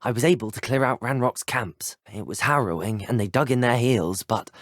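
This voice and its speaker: A man's voice: medium pitch, moderate pace, dry recording, studio quality, lightly acted. A young man with a British accent voicing a courteous, earnest teenage wizard in a video game, well-spoken and formal. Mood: earnest, composed. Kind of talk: scripted video game dialogue.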